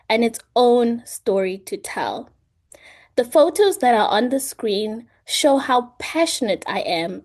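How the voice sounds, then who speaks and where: A woman from South Africa with a South African accent, a native Zulu speaker, giving a deliberate prepared self-introduction as a scholarship student. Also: on the high side, darkish tone. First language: isiZulu.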